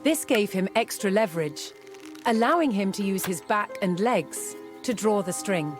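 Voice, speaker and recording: A woman narrating a documentary from a script with measured, dramatic delivery. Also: mid-range voice, animated. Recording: loud music behind. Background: music.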